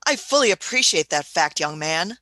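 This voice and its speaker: A woman's voice: fairly low pitch, pushed and bright, no room sound, exaggerated voice. A woman playing a genteel, testy old Doctor in a sci-fi radio play, with fussy, patronising, old-fashioned courtesy.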